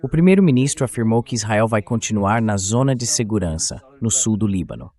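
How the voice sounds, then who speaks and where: A man's voice: lowish voice, animated, fairly quick, mellow. A man with a Brazilian accent reading a translated voice-over of political news commentary as the show's host.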